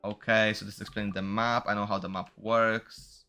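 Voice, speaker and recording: Male game streamer: bright, forward voice, slangy off-the-cuff commentary, faint music underneath. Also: lowish voice, flat delivery, normal speed. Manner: informal, slangy. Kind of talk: casual live game commentary, reading dialogue aloud and chatting with viewers.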